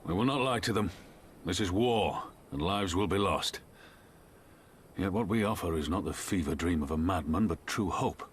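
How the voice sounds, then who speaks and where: An actor playing a grave, resolute general in a video game, speaking in a stern, measured, dignified way. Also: male voice, low-pitched, slight background noise, natural voice. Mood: solemn resolve. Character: grave, resolute male general and resistance leader. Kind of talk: video game drama acting.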